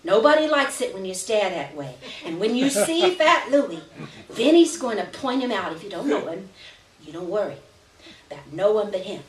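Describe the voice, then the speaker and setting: A woman's voice: medium pitch, big pitch swings, moderate pace, natural voice. A woman voicing a fierce, commanding working-class mother who threatens and gives emphatic orders in a live story reading. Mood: coaxing, stern. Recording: roomy, distant live reading with background noise.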